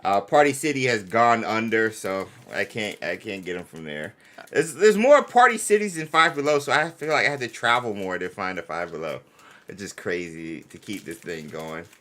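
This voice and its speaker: Man chatting with lively, swooping intonation. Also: fairly low pitch, moderate pace. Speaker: co-host of a fan live stream. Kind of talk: casual live-stream chat with viewers.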